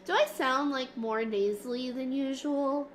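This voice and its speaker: Woman streamer, high and nasal, sniffly with a cold, slow playful chatter over faint hiss. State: stuffy from a cold. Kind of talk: casual livestream chat with viewers. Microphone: desk mic, moving closer and farther.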